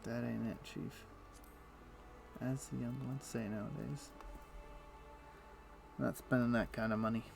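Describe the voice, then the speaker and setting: A man's voice: medium pitch, unhurried, gravelly, long pauses, over music. A man streaming a video game, talking half to himself with dry, wry asides as he plays. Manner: muttering to himself while playing, wry asides. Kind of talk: casual live-stream gameplay commentary. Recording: steady background noise.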